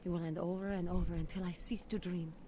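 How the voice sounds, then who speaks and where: A woman playing a troubled video game seer haunted by visions, speaking in a halting, cryptic, trancelike way. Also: on the low side, flat delivery, background noise, close to an everyday voice. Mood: ominous, prophetic. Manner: halting, cryptic, drifting between trance and fear. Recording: music behind the voice.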